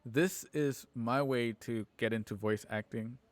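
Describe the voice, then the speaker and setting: A man's voice: medium pitch, measured pace, no echo. A man talking casually and earnestly, circling back over his points. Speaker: film editor and aspiring voice actor. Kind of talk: casual group chat.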